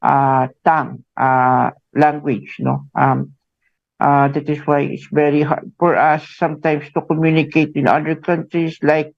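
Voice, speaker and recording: Filipino guy hosting radio, slow gravelly talk, lots of "no?", dull lo-fi sound. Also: a little high, flat delivery, dry recording. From Philippines. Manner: halting, many fillers, tag "no?" after phrases. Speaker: radio show host. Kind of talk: radio interview.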